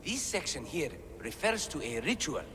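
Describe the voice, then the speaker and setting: A man's voice: fairly high pitch, very animated, moderate pace, big-room sound, background noise. An actor playing an eager male scholar deciphering ancient writing, talking about his discoveries with enthusiasm. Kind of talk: game cutscene acting.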